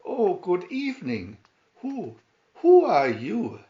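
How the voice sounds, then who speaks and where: A man's voice: high voice, huge pitch swings, slow pace, dark tone, reverberant, muffled recording, voice pushed up, heavily acted. A man voicing an innocent, earnest young fairy-tale heroine with a wondering, exclaiming manner. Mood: surprised.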